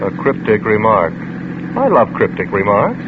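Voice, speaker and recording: Glib charmer, tinny old radio. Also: male voice, lowish voice, huge pitch swings, normal speed, noisy background. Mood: sarcastic. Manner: breezy, sarcastic banter. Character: glib, charming, flippant male suspect, smoothly teasing. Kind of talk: 1940s-50s radio drama acting.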